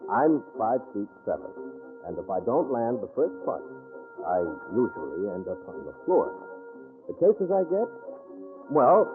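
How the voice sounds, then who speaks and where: An American actor playing a wry, tough, slangy little private eye in a 1940s radio drama. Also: male voice, mid-range voice. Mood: wry, self-mocking. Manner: slangy, wisecracking, deadpan. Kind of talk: 1940s radio detective acting and narration. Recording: tinny, band-limited 1940s radio recording, music behind.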